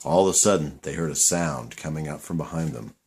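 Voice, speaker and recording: A man's voice: a bass voice, fairly quick, a little mellow, breathy edge. A man reading scripted storybook narration for a puppet show.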